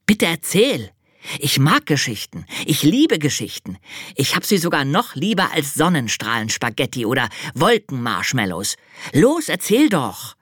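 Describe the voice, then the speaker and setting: A narrator voicing a small, proud and excited boy angel in a children's storybook, chattering eagerly. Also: mid-range voice, a little slow, husky, no echo, studio quality, pitched above the performer's own voice, full character voice. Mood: pleading. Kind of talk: storybook reading.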